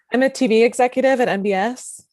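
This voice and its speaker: A woman's voice: fairly high pitch, a little fast, darkish tone, dry recording, lightly acted. A woman playing a polite, skeptical TV executive in her mid-thirties, dryly, in a comedy table read. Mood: dry skepticism. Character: polite, skeptical 35-year-old female TV executive.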